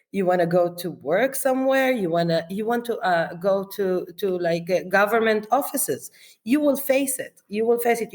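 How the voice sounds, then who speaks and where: A woman's voice: mid-range voice, a little mellow. A woman, a chef being interviewed, talking in a reflective way with fillers, restarts and frequent laughs. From Israel. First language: Arabic. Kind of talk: relaxed conversational interview.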